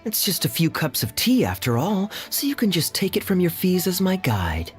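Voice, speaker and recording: A man's voice: high-pitched, huge pitch swings, normal speed, soft background music. An actor playing a confident, challenging young man in a video game, breezy and assertive, with an assured, articulate manner. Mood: pressing. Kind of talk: acted video game dialogue.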